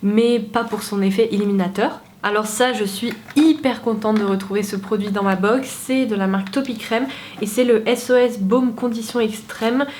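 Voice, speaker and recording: A woman's voice: on the high side, steady tone, moderate pace, a little mellow. A young woman chats straight to camera, candid and a little self-mocking. She has a head cold that leaves her sounding stuffy. A faint hiss of background noise sits under her voice. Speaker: beauty vlogger. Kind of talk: casual chat to camera.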